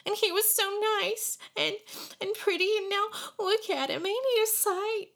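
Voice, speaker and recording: A woman voicing a tearful, excitable little girl in a storybook reading. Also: measured pace, heavily acted. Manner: high, tearful and breathless. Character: sobbing little red-headed girl.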